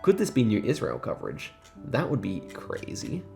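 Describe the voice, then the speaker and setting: A man's voice: on the low side, wildly swooping pitch, normal speed, gravelly, darkish tone, soft background music. A man giving casual, thinking-aloud gameplay commentary, musing and rambling hesitantly as he goes. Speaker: host of geography quiz gaming videos.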